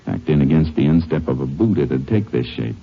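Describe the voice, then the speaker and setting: Muffled vintage radio recording with music. A low-voiced American lawman, steady and methodical, talks in deliberate, plain words in a 1950s western radio drama. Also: creaky, slightly rough, heavily acted. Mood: focused, intent. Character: steady, methodical male Texas Ranger investigator in a western. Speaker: lead actor in a radio drama. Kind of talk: 1950s radio drama acting with first-person narration.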